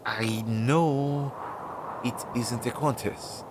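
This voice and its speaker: Man voicing an anxious, slow-talking crow familiar, noisy audio. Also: steady tone. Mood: pleasantly surprised. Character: anxious, devoted male crow familiar of a witch. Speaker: voice actor in audio roleplay. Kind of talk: intimate second-person audio roleplay acting. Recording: low-quality recording with clear noise.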